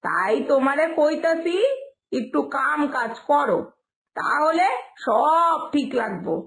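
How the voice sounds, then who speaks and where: A woman's voice: sits high, lively intonation, slow pace, fairly bright tone, full character voice. A woman voicing a mouthy younger village working woman in a cartoon story, bluntly taunting in an eastern Bengali dialect. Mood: mocking, scolding.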